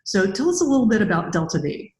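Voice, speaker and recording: A woman's voice: medium pitch, very animated, fast talker, darkish tone. A middle-aged woman hosting a podcast interview in a conversational style, recorded over a video call in an echoey room. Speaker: podcast host, robotics academic. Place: indoor room with bare walls.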